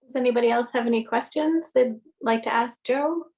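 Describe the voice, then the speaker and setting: A woman's voice: a little high, brisk, a little mellow. A woman casually hosting a question session, informal and encouraging. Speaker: library event host.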